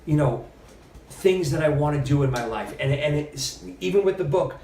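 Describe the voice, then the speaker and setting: A middle-aged man, a podcast guest, telling stories in a casual, animated way and acting out what other people said. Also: a little high, moderate pace, slight background noise. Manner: animated storyteller, quotes others with lively shifts. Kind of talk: casual storytelling interview. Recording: roomy, mic at a distance.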